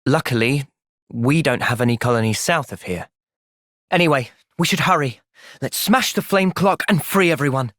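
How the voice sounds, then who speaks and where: A man acts as a thoughtful young fantasy game hero. He speaks sincerely and enunciates clearly, with a lively, varied pitch. The sound is dry, with no room echo. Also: moderate pace, close to an everyday voice. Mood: calm, measured. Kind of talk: video game cutscene voice acting.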